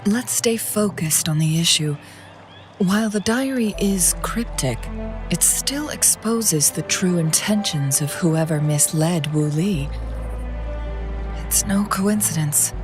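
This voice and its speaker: Slow, poised female adviser. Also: lowish voice. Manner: measured, poised, deliberate. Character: composed, astute female adviser, calm and enigmatic. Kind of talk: dramatic game voice acting.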